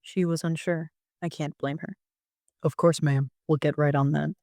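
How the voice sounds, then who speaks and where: A man narrating a first-person story like an amateur audiobook reader, with an even storytelling delivery. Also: on the high side, moderate pace, dry recording.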